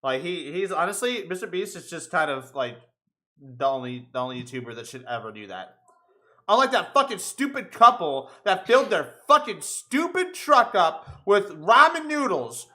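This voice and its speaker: A man's voice: high-pitched, big pitch swings, normal speed, pushed and bright. A young man co-hosting a casual podcast chat, ranting in a rambling, profane way. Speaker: podcast co-host.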